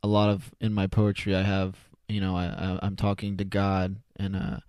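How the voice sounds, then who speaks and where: Low, monotone young man, halting delivery. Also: no echo, clean studio sound. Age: 20s. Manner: halting, repeats words, self-effacing. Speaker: podcast guest, poet. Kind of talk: casual, unscripted interview answers. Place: converted garage home studio.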